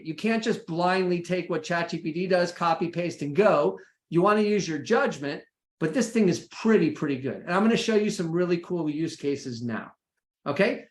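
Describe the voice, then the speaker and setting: An American man presenting an informal, practical session in a conversational way, often checking in with his listeners. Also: high-pitched. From United States. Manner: conversational, checks in with "right?" and "okay?". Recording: echoey room sound.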